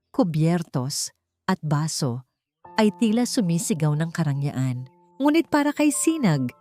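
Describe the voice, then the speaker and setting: Low-voiced woman narrating drama. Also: a little mellow. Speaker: story narrator. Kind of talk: scripted dramatic narration of a love story. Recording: music behind the voice. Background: background music.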